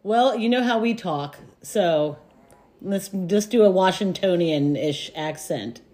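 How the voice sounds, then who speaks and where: An American woman hosting a casual podcast. Her voice is slightly low but swings widely in pitch, and she reacts with exclamations and enthusiasm. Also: lively intonation, takes it slowly, warm tone. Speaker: podcast host. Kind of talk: casual chat.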